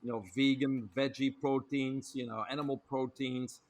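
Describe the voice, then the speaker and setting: A man's voice: medium pitch, flat delivery, moderate pace. A middle-aged man from Belgium with a Belgian accent, a guest on a podcast interview, telling his story fluently with frequent filler words. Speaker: guest, doctor and author.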